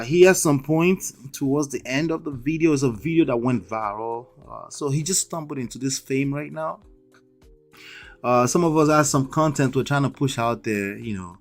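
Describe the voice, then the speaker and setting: A man with a thick Nigerian accent talks to his live-stream audience in an emphatic, lecturing way and keeps checking in with "okay?" and "right?". His voice sits at a middle pitch, and faint music plays quietly behind him. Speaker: livestream host.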